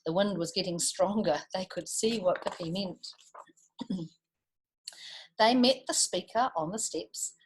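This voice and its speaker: A New Zealand woman reads aloud for young listeners in a mid-range voice. Her delivery is expressive, and she moves between storybook narration and chatty, teacherly asides. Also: medium pitch, a little slow, pauses often, slight background noise, low-quality recording. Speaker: storytime reader for children. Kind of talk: animated picture-book read-aloud with chatty asides to children.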